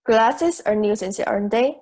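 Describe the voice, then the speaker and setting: Young woman playing self-assured female juror, fairly high voice, measured, articulate, amateur audio drama. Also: a little mellow. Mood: casual, reflective. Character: logical, self-assured juror, a married woman open to reason. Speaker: student actor in school drama class.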